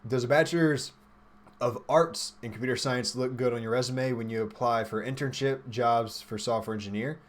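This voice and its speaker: Guy in his 20s on a livestream, casual, kinda rambling, thinks out loud while answering chat, medium pitch. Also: normal speed, slight background noise. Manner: informal, rambling, thinks aloud. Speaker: livestream host, software developer.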